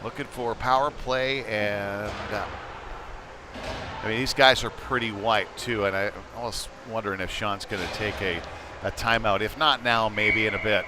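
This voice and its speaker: An American man giving casual, conversational live hockey play-by-play as a commentator, getting excitable when play heats up. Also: lowish voice, normal speed. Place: indoor ice rink. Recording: noisy indoor ice rink.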